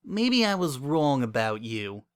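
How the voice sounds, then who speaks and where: A man's voice: mid-range voice, sing-song, slow, clear, resonant tone. A man voicing a haughty, scornful young female pony with a pompous, sniffy manner in a dramatic story reading. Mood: smug, airy.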